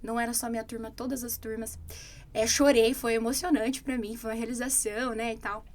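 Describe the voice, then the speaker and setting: Lively Brazilian woman telling a story. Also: a little high, brisk. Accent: Brazilian Portuguese. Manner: animated storytelling, quoting others. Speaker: English teacher, podcast co-host. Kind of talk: casual chat.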